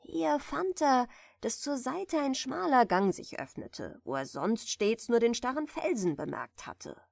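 A woman softly reading a bedtime fairy tale in a gentle, soothing manner, at times close to a whisper. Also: on the high side, big pitch swings, measured pace, creaky, no room sound. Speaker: audiobook reader, storyteller.

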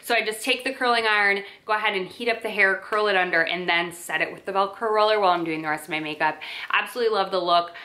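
Bright-voiced chatty young woman vlogger. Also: medium pitch, fast talker. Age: young adult. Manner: chatty, many filler likes and ums. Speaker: lifestyle vlogger. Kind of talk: casual favorites review to camera. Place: bedroom with dresser and plant, indoors.

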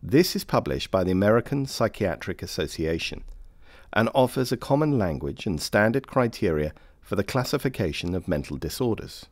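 A man reading scripted informational narration for a training film in a measured, formal manner. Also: fairly low pitch, big pitch swings, no room sound. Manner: measured, formal, clearly phrased.

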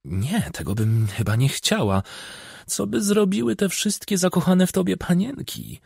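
A coy, witty village girl of eighteen voiced by a male narrator in an audiobook. She teases coquettishly, with a lilting pitch and a husky edge. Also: big pitch swings, moderate pace, a little mellow, no room sound, studio quality, heavily acted. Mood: coy teasing. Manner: teasing, coquettish. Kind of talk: audiobook character acting.

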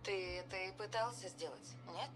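A woman's voice: medium pitch, moderate pace, music in the background, natural voice. An actress playing an attentive, lightly teasing woman companion in a game audio drama, questioning and light. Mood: curious.

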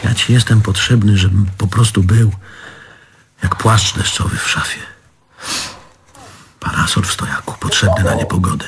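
An actor playing a jealous, self-pitying man reproaching his lover in halting, literary phrases, in theatrical stage style. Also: lowish voice, slight background noise, natural voice. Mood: hurt, insistent. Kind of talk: theatrical stage drama acting.

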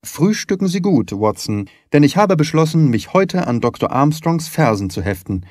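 A man voicing a shrewd, confident, courteous gentleman detective in an audiobook, formal, articulate and lightly patronising. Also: a little high, big pitch swings, normal speed, no echo, studio quality. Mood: confident, reassuring. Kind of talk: audiobook character reading.

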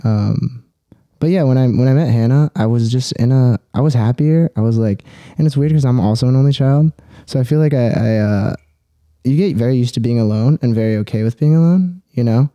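A young adult man telling personal stories casually as a podcast guest, halting and rambling, with frequent swearing. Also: mid-range voice, warm tone, no room sound.

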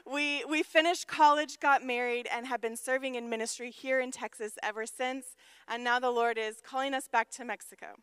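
A woman's voice: a little high, steady tone, normal speed, fairly bright tone, clean tone, no echo. A woman in her thirties from Washington State, a missionary telling her story to a church congregation in an earnest way. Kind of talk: church testimony. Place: large church stage.

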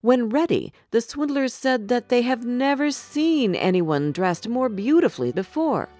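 A woman narrating a scripted fairy tale for children in an expressive, measured storytelling manner. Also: on the high side, faint music behind.

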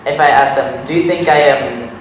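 A man's voice: medium pitch, moderate pace, a little hiss or hum. A teenage boy with a Thai accent, giving frank, thoughtful answers in an interview. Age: teens. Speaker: interview guest. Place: small indoor room. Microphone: lapel mic. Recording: echoey room, distant sound.

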